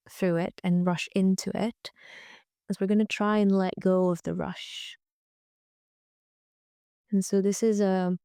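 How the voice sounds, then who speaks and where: A woman leading a guided relaxation meditation in a gentle, soothing, unhurried way, with frequent pauses. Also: medium pitch, gravelly, clean tone, no echo, clean studio sound. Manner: gentle, soothing, pausing often. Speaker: yoga nidra guide.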